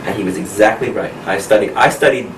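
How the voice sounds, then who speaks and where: A man from the United States in his thirties, a pianist and coach answering interview questions in a friendly, unhurried way with frequent laughs. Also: fairly low pitch. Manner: friendly, laughs often, speaks slowly and clearly. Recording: echoey room recorded from a distance with noise.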